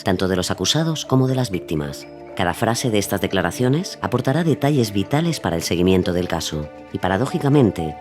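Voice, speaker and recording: A man narrating a scripted documentary drama as its storyteller. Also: lowish voice.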